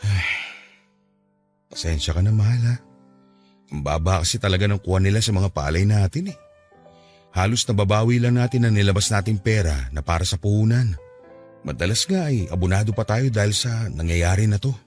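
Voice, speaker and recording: Actor as low-voiced doting farmer husband. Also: male voice, brisk, long pauses, natural voice. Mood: apologetic. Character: strict but doting middle-aged farmer husband and father. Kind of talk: radio drama acting. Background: soft music bed.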